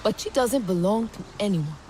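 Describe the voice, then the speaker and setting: Actress doing a blunt proud horsewoman from a tribe, animated, medium pitch, game, noisy behind. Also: normal speed, natural voice. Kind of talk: video game voice acting.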